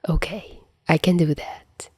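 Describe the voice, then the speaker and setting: A woman voicing a remorseful, protective male superhero mentor in a fan audio drama, softly and tenderly, with halting reassurance. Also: low-pitched, fairly even intonation, breathy edge, dry recording, studio quality, exaggerated voice. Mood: tender, awkward. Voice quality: soft.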